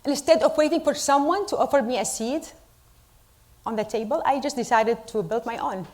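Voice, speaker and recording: Middle-aged Iraqi-born woman, fairly high voice, earnest deliberate speech to a crowd, English. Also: darkish tone. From Iraq. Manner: earnest, deliberate, with pauses for emphasis. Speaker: storyteller at a community event. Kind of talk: speech to a live audience.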